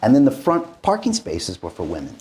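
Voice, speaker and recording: A man's voice: fairly low pitch, huge pitch swings, a little fast, very noisy. A man hosting an interview, talking casually and emphatically, often repeating words. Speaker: podcast host.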